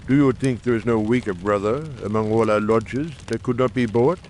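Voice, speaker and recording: A man acts out an earnest, shrewd brother of a secret coal-town society in an audiobook drama. He speaks formally and persuasively at a medium pitch, confident in his case. A faint noise runs behind the voice. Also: normal speed, a little mellow, close to an everyday voice. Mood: confident, urgent.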